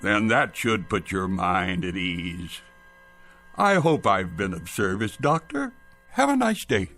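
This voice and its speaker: A witty, creaky-voiced old man. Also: sits low, sing-song, slow, sharp tone, faint music behind, exaggerated voice. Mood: wry, pointed. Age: older. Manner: wry, evasive, answering questions with questions. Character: witty, stubborn old man devoted to his heirloom clock. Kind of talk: radio drama acting.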